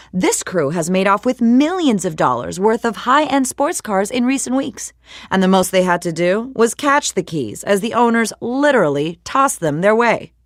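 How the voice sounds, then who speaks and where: An actress playing a polished, brisk woman news reporter, reading scripted bulletins in a measured, slightly wry newsreader delivery for a game. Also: medium pitch, no room sound, studio quality, lightly acted. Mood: wry, cautionary.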